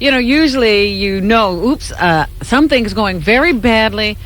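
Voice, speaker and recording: A woman's voice: mid-range voice, expressive, normal speed, a bit bright. A woman co-hosting a radio show, reading news items and trading laughs and banter with the host. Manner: laughs often, reads items then chats. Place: radio studio. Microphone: studio microphone. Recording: faint background noise, vintage radio broadcast.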